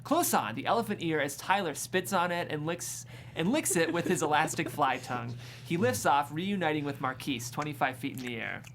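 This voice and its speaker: Stage directions reader: mid-pitched, descriptive, amused, scripted comedy narration, slight room noise. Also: animated, normal speed, fairly bright tone. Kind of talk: scripted screenplay narration at a table read.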